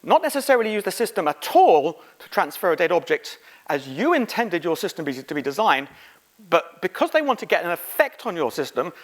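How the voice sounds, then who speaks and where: A middle-aged man speaking as a conference presenter, giving an informal, halting technical lecture. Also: sits high, very animated. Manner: informal, halting, quizzing the audience. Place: conference room, on stage.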